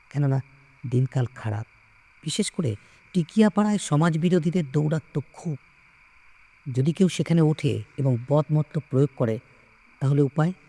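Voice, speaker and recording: A man reading a story aloud in a measured, expressive, suspenseful way with dramatic pauses. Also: on the high side. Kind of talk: dramatic scripted story narration.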